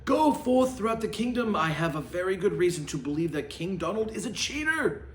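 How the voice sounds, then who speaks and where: A man's voice: high-pitched, very animated, brisk, reverberant, pitched above the performer's own voice. A man voicing a shifty, scheming knight with a sly, theatrical delivery for a storybook. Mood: rallying. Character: shifty, scheming male knight spreading secrets.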